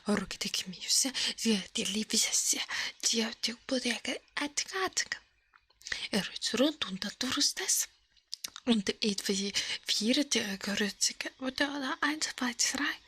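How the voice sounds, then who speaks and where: A woman hosting an ASMR livestream in a breathy, hushed voice that often drops to a whisper, murmuring slowly close to the microphone. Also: on the high side, no room sound.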